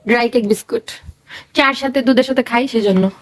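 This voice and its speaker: Fairly high-voiced Bangladeshi woman, chatty. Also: brisk, rough recording. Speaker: vlogger. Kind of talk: casual shopping haul chat.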